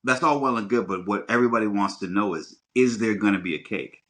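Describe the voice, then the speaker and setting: Deep, bright-voiced American man. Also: fast. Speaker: podcast host. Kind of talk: casual podcast chat.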